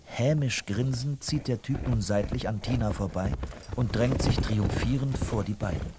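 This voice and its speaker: A man narrating a children's audio drama from a script in an expressive storytelling manner. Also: mid-range voice, moderate pace, heavy background noise.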